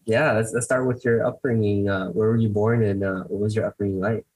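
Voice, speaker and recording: An upbeat man co-hosts a podcast interview in a husky voice, teasing the guest good-naturedly. He has an easy, casual delivery. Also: fairly low pitch, steady tone, brisk, a little mellow, low-quality recording. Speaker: podcast co-host.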